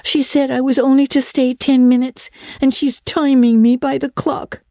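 A woman's voice: high voice, a little slow, a little mellow, no echo, clean studio sound, pitched above the performer's own voice. A woman voicing a devoted, plainspoken little girl in a dramatic audiobook reading, childlike and earnest. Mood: mournful. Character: Diana, devoted, plainspoken little girl, Anne's bosom friend.

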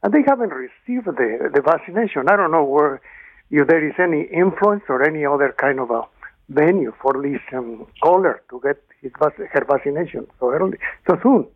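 A man from Mexico calling in to a radio show, halting and indignant. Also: on the high side, animated, no room sound. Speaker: caller. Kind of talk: call-in complaint. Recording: phone line.

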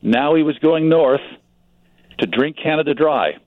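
A man giving informative, measured answers as a guest in a radio interview. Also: fairly high pitch, phone-quality sound, a little hiss or hum. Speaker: magazine publisher, radio history guest.

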